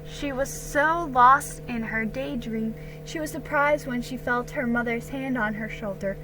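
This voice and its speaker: A woman giving an expressive, deliberate dramatic reading of a children's historical storybook. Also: fairly high pitch, over music, poor audio. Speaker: storybook reader.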